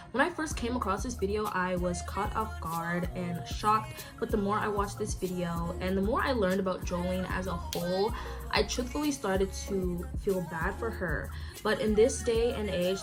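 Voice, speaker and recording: Wry woman narrating over music. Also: lowish voice. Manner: wry, opinionated. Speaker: commentary youtuber. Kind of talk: scripted commentary narration.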